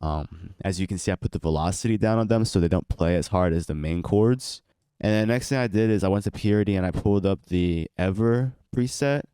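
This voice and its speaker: Young male producer, very low voice, stuffy and congested, relaxed tutorial delivery, close dry sound. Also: clear, resonant tone, dry recording. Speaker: beat producer and channel host. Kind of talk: casual tutorial walkthrough.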